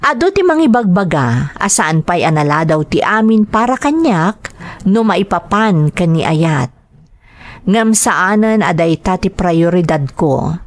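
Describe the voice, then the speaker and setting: A woman's voice: lowish voice, sing-song, unhurried, no room sound. A woman with an Ilocano accent reading greetings and letters as a radio-style podcast host.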